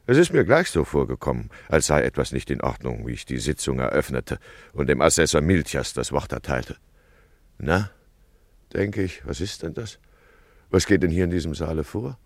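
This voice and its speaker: Complacent grumbling judge, bass, animated, close dry radio-play recording, gravelly. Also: male voice, no room sound. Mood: rueful. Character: worried, peace-loving male town judge, complacent and plaintive. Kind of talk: German radio play monologue.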